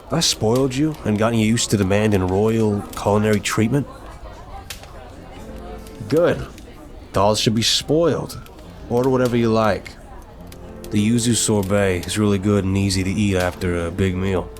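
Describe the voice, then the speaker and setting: A man's voice: fairly low pitch, moderate pace, long pauses, faint music behind, lightly acted. A man playing an apologetic, flirtatious mafia boss who talks to a date in a teasing, earnest, affectionate way, in an ASMR roleplay.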